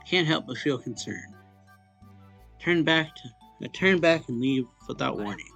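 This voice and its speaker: A man with a noticeable vocal fry and a mid-range voice reads story text aloud for a let's play. His delivery is halting, with stumbles and repeated phrases, and music plays faintly behind him. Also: mid-range voice, lively intonation, a bit bright, dull audio. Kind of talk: reading a visual novel aloud.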